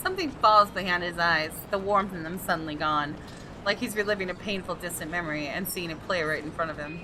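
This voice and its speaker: A woman reading visual novel narration aloud with deliberate, dramatic storytelling. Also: on the low side, background noise. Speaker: gaming video host. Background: music.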